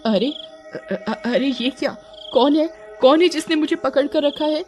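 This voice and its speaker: A woman's voice: high-pitched, measured pace, a little mellow, faint music behind, voice pushed up. A woman voicing an eager young wife in a cartoon horror story, coaxing and exclaiming expressively. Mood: terrified. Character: eager young wife, playful and pleading, later terrified.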